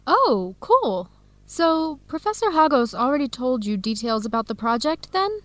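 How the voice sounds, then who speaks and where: High-voiced, eager American woman researcher. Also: sing-song, a little slow, fairly bright tone, dry recording, exaggerated voice. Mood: excited, pleased. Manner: enthusiastic, encouraging. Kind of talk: radio drama acting, clear educational dialogue.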